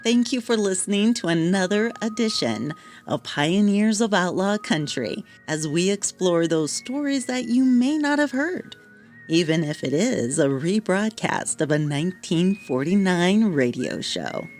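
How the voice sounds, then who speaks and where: A woman's voice: on the low side, expressive, measured pace, a little mellow, faint music behind. An American woman speaking as a podcast host in a narrated sign-off style.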